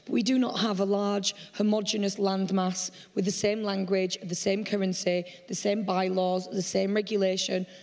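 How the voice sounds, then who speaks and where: A woman, a startup founder, speaking candidly on a panel with a hoarse, croaky voice. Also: mid-range voice, level tone, moderate pace, hardly any pauses, darkish tone. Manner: candid, conversational storytelling. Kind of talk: panel discussion. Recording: long hall reverb from a conference stage.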